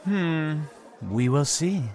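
A man's voice: on the high side, takes it slowly, darkish tone, soft background music. A man acting in an audio drama as a mysterious, insistent newcomer, with formal, teasing storyteller phrasing. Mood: probing. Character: mysterious, courteous, insistent man new in town.

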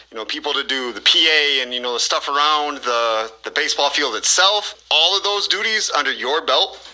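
Man, interviewer. Sharp, forward tone at mid pitch, conversational questioning. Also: low-quality recording.

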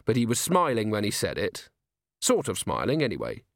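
A man's voice: lowish voice, wildly swooping pitch, fairly quick, no echo, studio quality. A man voicing an earnest, polite and slightly awkward young police captain in a storybook reading, deferential and innocent. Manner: deferential, innocent, literal-minded.

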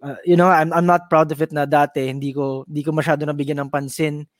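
Young Filipino male DJ, sincere and rambling, a bit high-pitched, dry close mic. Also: level tone, fast, no echo. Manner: earnest, repeats himself, mixes Filipino and English. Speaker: radio DJ co-host. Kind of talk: casual talk-show banter.

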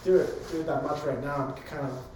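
Hoarse young man in an echoey room. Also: a little high, fairly even intonation, fairly quick, darkish tone. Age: young adult. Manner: eager, rambling, filler-heavy. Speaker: college public address announcer. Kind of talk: casual interview answers. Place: room on a college campus. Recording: very echoey room, faint background hum.

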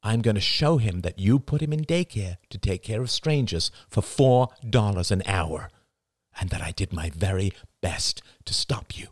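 A man's voice: fairly low pitch, expressive, moderate pace, no echo, studio quality. A man playing an intense, insistent husband and father in dramatic audiobook dialogue. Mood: threatening, intense.

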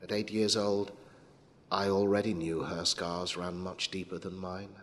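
A man reading a short story as a dramatic narrator, in a measured and sombre manner. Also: sits low, level tone. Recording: long hall reverb, clear background noise.

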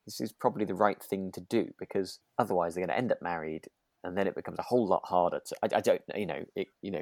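Lowish-voiced man, dry close sound. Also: a little mellow, no echo. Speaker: podcast co-host. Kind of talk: relaxed, informal discussion.

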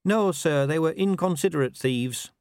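A man voicing an earnest young female dwarf watch officer who reports in a brisk, eager way. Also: low voice, a little mellow, dry recording, pitched above the performer's own voice.

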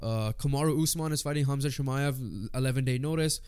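A man's voice: medium pitch, fairly quick, darkish tone, smooth. A man co-hosting a sports podcast with a congested voice, chatting informally and swearing casually. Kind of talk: casual sports chat, reading lists and predictions.

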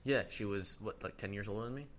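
Low-voiced young man, slangy and teasing. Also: fast talker. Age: 20s. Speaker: podcast co-host. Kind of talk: casual chat. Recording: clear background noise.

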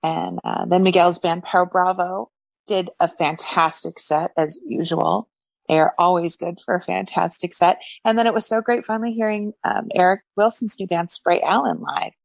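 A woman co-hosts a podcast, her lowish voice carrying a gravelly fry. She speaks in an upbeat, earnest, gushing way. The recording is dry and close. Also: steady tone, normal speed, dry recording. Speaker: podcast co-host. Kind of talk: casual chat.

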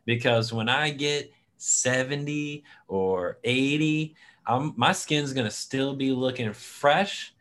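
A man's voice: mid-range voice, slow pace, fairly bright tone. A man hosting an interview in an encouraging, upbeat manner. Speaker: host.

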